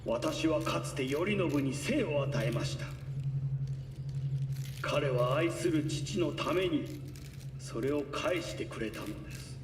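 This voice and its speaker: A noisy, roomy recording of a male actor as an imperious corporate patriarch in a game. His voice sits a little high and he speaks formally, with measured authority, as if addressing the public. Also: lively intonation, close to an everyday voice. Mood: solemn, commanding. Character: imperious old tycoon reborn in his son's body. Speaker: corporate patriarch giving a press conference. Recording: roomy distant mic with loud background noise.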